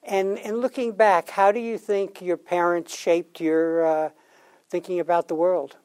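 A man hosts an academic interview conversation. He frames his questions haltingly and often restarts or repeats words. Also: high-pitched, flat delivery, darkish tone, smooth. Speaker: interview host.

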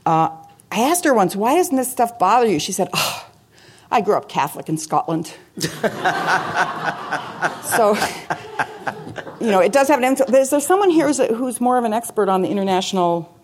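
A woman's voice: fairly high pitch, sing-song, moderate pace, long pauses, slight background noise. A woman speaking conversationally and anecdotally as a guest professor answering questions at a public talk. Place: talk venue with an audience.

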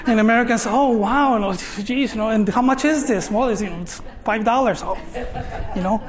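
A man's voice: high voice, moderate pace, crowd noise. A man in his fifties from Tijuana with a Mexican accent, speaking as an artist guest in a hesitant, filler-heavy way, ending phrases with "no?". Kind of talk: conversational talk on stage.

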